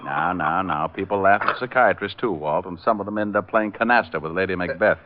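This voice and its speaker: An actor playing a wisecracking, breezy private detective, wry and flippant in hardboiled old-time radio narration and dialogue. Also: male voice, low voice, brisk, background noise, lightly acted. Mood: flippant, casual. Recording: muffled, narrow vintage radio recording, music.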